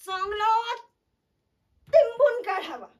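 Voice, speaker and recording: A woman's voice: extremely high pitch, lively intonation, takes it slowly, heavily acted. A woman playing a volatile, self-pitying mother scolding her child in a theatrical stage monologue. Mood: furious. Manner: theatrical, swinging between shouting, pleading and sobbing. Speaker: actor performing a theatre monologue. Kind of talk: dramatic Marathi stage monologue. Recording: voice far from the mic.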